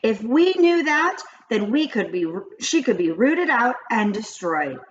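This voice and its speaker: A woman voicing an old grandmother in a children's storybook, confiding grave witch lore in a deliberate, dramatic way. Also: on the high side, very animated, dull audio, voice pushed up. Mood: ominous. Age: older. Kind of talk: children's storybook read-aloud.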